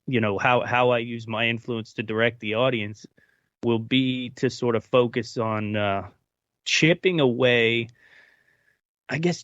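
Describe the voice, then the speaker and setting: A man's voice: medium pitch, fairly bright tone, dry recording. A man on a discussion panel, talking casually and reflectively, often searching for his words. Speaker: panel participant. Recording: dull, dry, lo-fi sound.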